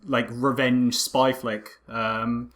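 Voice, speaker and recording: A man's voice: mid-range voice, level tone, takes it slowly, a bit bright. Male co-host for a casual film podcast, mid-range voice, wry and amused delivery. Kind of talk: casual film critique chat.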